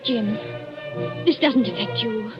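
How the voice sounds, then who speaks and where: An actress playing an anguished married woman, restrained and quietly defiant, with clipped earnest delivery in vintage radio drama. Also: on the high side, slow pace. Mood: anxious, restrained. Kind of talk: 1940s radio drama acting. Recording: muffled old radio recording with music.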